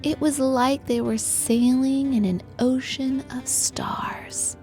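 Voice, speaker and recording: A woman narrating a children's bedtime story as a guided meditation, in a gentle, soothing, hushed manner. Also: high-pitched, fairly even intonation, slow pace, soft background music.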